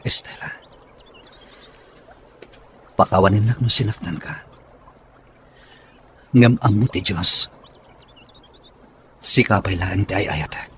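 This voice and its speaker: A man's voice: low voice, slow pace, pauses often, fairly bright tone, a little hiss or hum. A man with a Filipino accent playing an earnest, lovelorn young suitor in a radio drama. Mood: pleading. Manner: earnest, pleading. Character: lovelorn young man pleading with his sweetheart. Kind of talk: Ilocano radio drama acting.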